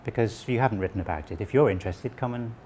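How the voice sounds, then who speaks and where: A man around sixty, originally from Wales, calmly explaining local history and his website as an interview guest, with small restarts. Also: lowish voice, fast, slight background noise. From southwest Wales. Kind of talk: relaxed conversational explanation.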